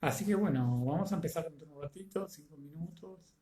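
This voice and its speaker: A man with a Rioplatense Spanish accent, congested with a cold and fever, greeting students by name before a live online lecture, with many halting fillers. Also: fairly high pitch, mellow, echoey room. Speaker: teacher.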